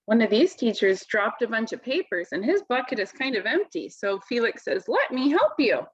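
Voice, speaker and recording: A woman reading a picture book aloud to children, expressively, pausing to explain it in simple words. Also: on the high side, lively intonation, highs cut off.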